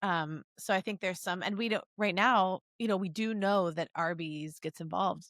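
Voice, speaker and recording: A woman's voice: on the low side, moderate pace, fairly bright tone, no room sound, studio quality. A woman chatting casually as a podcast co-host, with a playful, joking manner. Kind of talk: casual comedy chat, riffing.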